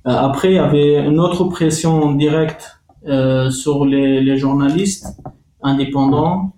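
A middle-aged man with a Kurdish accent, a former journalist, speaks in an interview with halting pauses and many restarts. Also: on the high side, mellow, echoey room, a little hiss or hum, low-quality recording. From Iraqi Kurdistan. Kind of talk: testimony interview.

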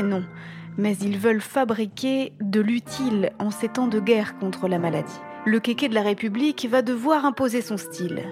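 A woman delivering scripted narration as the narrator of a satirical audio drama. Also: medium pitch. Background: prominent music.

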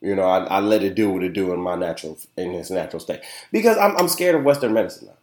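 A man's voice: low-pitched, expressive, fast talker, darkish tone. A young man hosting a casual solo podcast, congested and nasal, rambling and chatty with lots of fillers. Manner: rambling and chatty, keeps asking "you know what I'm saying". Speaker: podcast host. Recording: roomy, echoey room sound.

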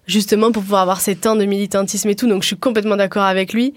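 A woman's voice: mid-range voice, steady tone, rapid-fire, no room sound, clean studio sound. A woman in her thirties, a guest coordinator in a casual radio interview, thinking aloud with many restarts and fillers. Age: 30s. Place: radio studio.

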